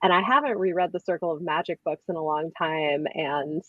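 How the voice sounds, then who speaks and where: A woman's voice: deep voice, fairly even intonation, fairly quick, dry recording, phone-quality sound. A woman hosting a casual group chat live show, effusive and enthusiastic in the way she talks. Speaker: host of a book readalong live show. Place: indoors, online group live stream.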